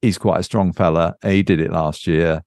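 A man's voice: deep voice, steady tone, moderate pace, creaky, darkish tone, dry recording, clean studio sound. A man co-hosting a podcast in casual, unscripted chat. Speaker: podcast co-host.

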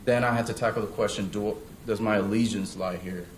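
A young man from South Korea shares his testimony, pausing often and starting his sentences over. His voice sits a little low. The room around him is echoey, with faint ambience behind it. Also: steady tone, a little hiss or hum. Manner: hesitant, many fillers and restarts. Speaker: church member giving testimony. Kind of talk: personal testimony. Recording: roomy sound with faint ambience.

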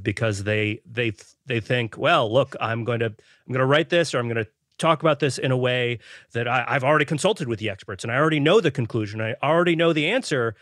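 A male podcast panelist with a mid-pitched voice talks through an argument. He often repeats words as he works toward his point. The sound is dry and close. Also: a bit bright, dry recording. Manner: thoughtful, repeats words while building a point. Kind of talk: casual panel discussion.

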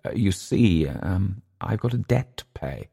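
A man's voice: deep voice, animated, a little slow, creaky, warm tone, slightly rough, full character voice. A man voicing a naive, eager young English adventurer for an audiobook, with a hesitant, apologetic, stammering manner and an English accent. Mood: hesitant, flustered. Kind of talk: audiobook character dialogue.

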